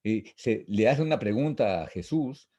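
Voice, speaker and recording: A man teaching an informal Bible lesson, reading and explaining verses in a repetitive, halting, didactic way. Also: on the low side, animated, dark tone. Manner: didactic, repetitive, halting with frequent false starts.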